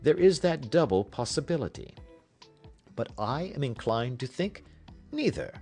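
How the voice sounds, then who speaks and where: A man voicing a brilliant, self-assured detective in an audiobook, brisk and commanding, with an emphatic, didactic delivery. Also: medium pitch, lively intonation, darkish tone, breathy edge, soft background music, voice pushed up. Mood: confident, reasoning.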